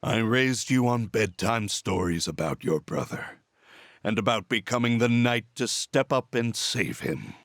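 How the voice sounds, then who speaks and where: An embittered villain of a video game, a middle-aged false father consumed by obsession. He speaks slowly and theatrically, with big swings in pitch and a taunting edge, recorded close and dry. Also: animated, a bit bright, dry recording. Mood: smug, confessing. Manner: theatrical, taunting, drawn-out delivery. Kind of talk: dramatic video game voice acting.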